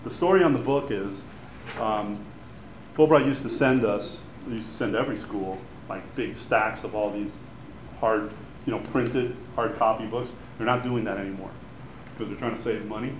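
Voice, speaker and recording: A man's voice: fairly low pitch, normal speed. Cuban-born guy, spanish native, rambling chatty info talk, echoey room with a little hum. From Cuba. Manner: chatty, rambling, many fillers and restarts. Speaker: advisor giving an info session. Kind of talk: informal talk to students. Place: room with an audience. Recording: echoey room, faint background noise.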